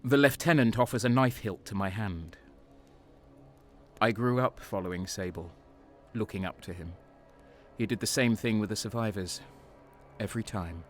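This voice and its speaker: A man's voice: fairly low pitch, moderate pace, long pauses, soft background music, lightly acted. A man playing a bitter, hardened traitor soldier, narrating an audio drama in the first person with a grim, brooding manner. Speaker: narrator and protagonist.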